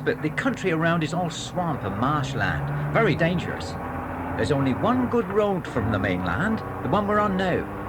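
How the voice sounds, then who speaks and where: An actor playing a local car driver who warns children in a folksy, ominous way in an audio drama. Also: male voice, a little high. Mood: folksy, informative. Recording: noisy old cassette tape.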